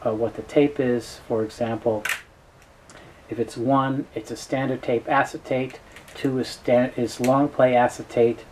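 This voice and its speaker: A man giving an informal, unscripted product explainer in a halting, matter-of-fact, knowledgeable way. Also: mid-range voice, fairly even intonation, darkish tone, a little hiss or hum, low-quality recording. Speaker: tape dealer presenting a product overview.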